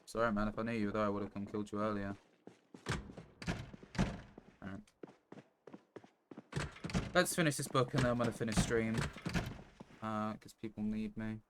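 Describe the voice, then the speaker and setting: A man with a fairly low voice chats casually through a game stream, muttering offhand remarks half to himself. He swears freely. The microphone picks up clear noise and clicks behind him. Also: little pitch movement, normal speed, long pauses.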